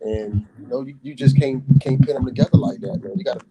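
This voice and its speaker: A man in casual podcast chat, talking in a relaxed, halting way and ending his lines with "man". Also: medium pitch, dull audio, loud music behind the voice.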